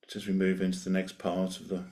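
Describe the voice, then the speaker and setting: A man leading a guided meditation, giving gentle, unhurried invitations with long pauses between them. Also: sits low, monotone.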